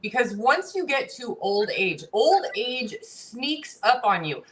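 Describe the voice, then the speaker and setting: A woman's voice: a little high, very animated, slow, a bit bright, low-quality recording. A woman in her forties, a guest in a casual interview, talking bluntly and emphatically about saving money. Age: 40s. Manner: emphatic, blunt, lecturing with repetition.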